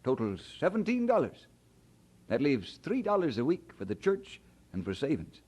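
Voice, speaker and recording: An actor playing a humble, earnest young immigrant in an audio drama, speaking in a polite, slightly hesitant way. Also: male voice, fairly high pitch, huge pitch swings, moderate pace, a little mellow, husky, full character voice. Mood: chatty. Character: young Scottish immigrant man, humble, earnest, would-be minister.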